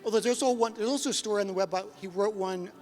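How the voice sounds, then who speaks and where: A man's voice: sits high, very fast, darkish tone, faint music behind. A man asking unscripted questions from the audience at a fan panel, hesitant and repeating himself. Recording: echoey hall microphone.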